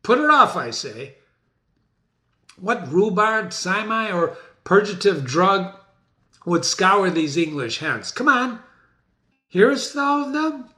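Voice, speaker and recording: Casting: male reader as imperious, agitated warrior tyrant for stage tragedy, forceful bright tone, wide-ranging declamation. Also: sits high, sing-song, takes it slowly, long pauses, voice pushed up, full character voice. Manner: imperious, urgent, theatrical declaiming. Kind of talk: dramatic stage reading of Shakespeare.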